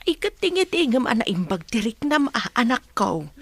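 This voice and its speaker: A woman playing a caring, cautious mother who gently advises her grown daughter in a radio drama. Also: a little high, sing-song, normal speed, no room sound. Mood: gentle advice.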